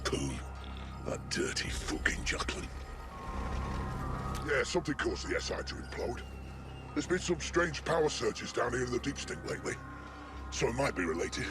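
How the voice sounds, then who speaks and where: A male actor plays a wounded technician stuck underground in a sci-fi game. He is weary and foul-mouthed, grumbling along in rambling slang at a middling pitch. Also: very animated, normal speed, long pauses, over music, natural voice. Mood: bitter, grumbling. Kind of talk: video game character dialogue.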